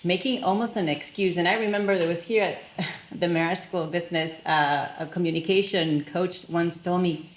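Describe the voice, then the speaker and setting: Argentine-accented woman, low and roomy. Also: steady tone. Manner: reflective, halting with fillers. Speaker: conference panelist. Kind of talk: reflective panel talk. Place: conference room with live audience. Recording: roomy hall echo, faint noise.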